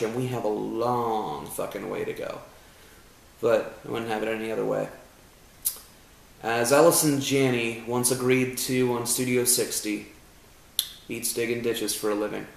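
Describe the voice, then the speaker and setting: A man's voice: mid-range voice, fairly even intonation, moderate pace, vocal fry, a little hiss or hum. Joking young man in an echoey room. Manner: joking, self-deprecating, profane asides. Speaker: musician vlogging his home recording. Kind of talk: casual chat. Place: home room.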